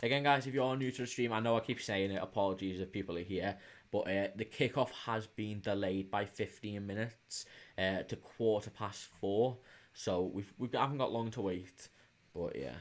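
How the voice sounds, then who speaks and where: A man with a fairly low voice and a Liverpool accent hosts a live stream. He talks casually to his viewers, reading out their comments and answering in a hesitant, informal way. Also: normal speed, few pauses. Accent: Liverpool English. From England, Liverpool. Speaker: livestream host. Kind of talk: casual live chat with viewers, football watch-along.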